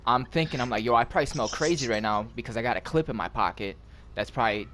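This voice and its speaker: A man's voice: medium pitch, quick pace, a bit bright, a little hiss or hum. A man with an American accent casually telling a story to friends, slangy and profane, acting out the dialogue as he goes. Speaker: gaming channel host telling a story.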